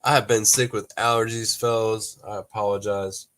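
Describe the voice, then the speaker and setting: A man's voice: on the low side, flat delivery, normal speed, fairly bright tone. A man hosting a casual toy-collecting livestream, talking in a rambling, laid-back way with frequent laughs and some cursing, a little congested from allergies. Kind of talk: casual livestream chat with viewers.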